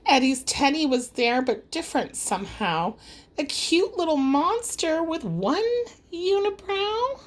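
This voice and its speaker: High, animated woman reading a children's story. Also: measured pace. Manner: dramatic, singsong storytelling. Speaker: audiobook reader. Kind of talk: expressive rhyming children's story narration.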